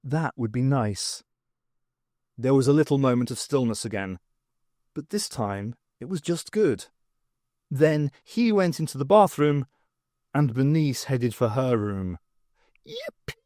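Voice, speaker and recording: Animated male audiobook narrator, close dry sound. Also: mid-range voice, a little slow, no room sound. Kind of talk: expressive scripted narration.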